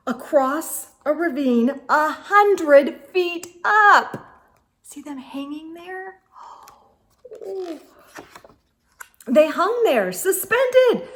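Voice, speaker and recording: A woman reading a children's picture book aloud as a storytime host, with theatrical, suspenseful delivery and little asides. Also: high voice. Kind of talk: expressive children's read-aloud narration.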